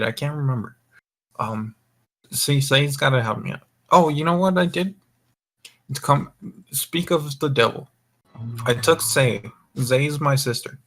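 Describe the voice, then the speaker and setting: A man's voice: mid-range voice, fairly bright tone. A young man hosting a gaming voice call, rambling casually in a laid-back way and swearing often. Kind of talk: casual group chat, rambling. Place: online voice call.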